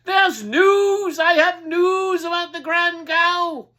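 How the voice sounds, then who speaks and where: A woman voicing an excitable male porcupine town crier who shouts out his announcements. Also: very high-pitched, measured pace, voice pushed up, exaggerated voice. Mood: shouting, excited.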